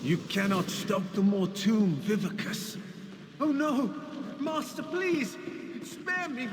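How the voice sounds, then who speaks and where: A fanatical villain prince from a video game, slow and melodramatic. His extremely high voice swoops about, with loud noise behind it. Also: sing-song, full character voice. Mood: pleading terror. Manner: melodramatic, drawn-out. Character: evil young prince, fanatical minion of a dark master. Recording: loud background noise, long reverb.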